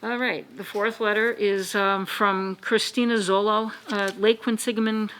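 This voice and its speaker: A woman chairing a town commission meeting, moving briskly through agenda items. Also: medium pitch, steady tone, a bit bright, a little hiss or hum, rough recording. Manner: brisk, procedural.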